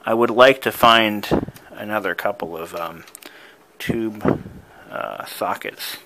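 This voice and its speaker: A man with a fairly low voice talks very slowly, as if working things out as he goes. He is casual and halting, like a hobbyist showing off a project. There is clear noise behind him. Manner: musing, thinking aloud, halting. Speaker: hobbyist showing an old device he is restoring. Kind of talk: casual unscripted show-and-tell vlog.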